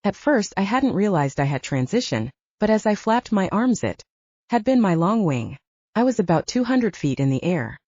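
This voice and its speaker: A woman's voice: on the low side, mellow, dry recording, dull audio. A woman narrating a fiction audiobook in a dramatic first-person storytelling style.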